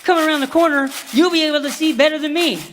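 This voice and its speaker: A woman's voice: high voice, quick pace, a little hiss or hum, close to an everyday voice. A woman playing a plucky frontier boy. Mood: nervous. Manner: folksy drawl with country grammar. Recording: echoey theater stage.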